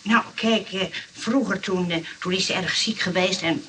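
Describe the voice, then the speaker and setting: A woman's voice: medium pitch, normal speed, fairly bright tone, lightly acted. A woman playing a protective, kindly nurse in a radio comedy, earnest and emphatic. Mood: defensive, fibbing. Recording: noisy old radio recording, distant.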